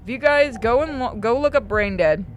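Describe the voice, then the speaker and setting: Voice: mid-range voice, lively intonation, moderate pace, slight background noise. A streamer narrating a horror visual novel aloud and breaking off for casual asides.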